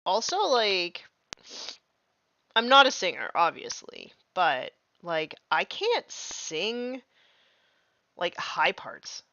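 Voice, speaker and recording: A woman's voice: fairly low pitch, very animated, slow pace, long pauses, bright, forceful tone, no echo, muffled recording. A young woman chatting casually and self-deprecatingly while painting miniatures on a live stream, full of "like", just getting over losing her voice. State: recovering from lost voice.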